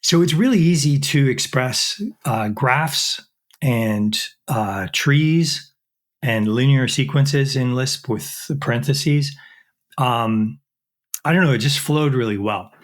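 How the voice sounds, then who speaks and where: A middle-aged man speaks in a thoughtful, halting way, full of fillers and false starts as he works through technical explanations. His pitch sits in an ordinary middle range. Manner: thoughtful, frequent fillers and restarts. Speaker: guest, chemistry professor. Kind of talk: technical interview.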